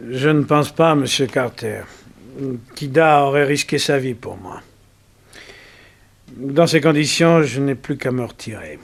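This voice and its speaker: An actor playing a bewildered, dignified gentleman with formal speech in a 1960s French radio serial. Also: mid-range voice, measured pace, creaky, pauses often. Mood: wounded, dignified. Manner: stammering when baffled, formal.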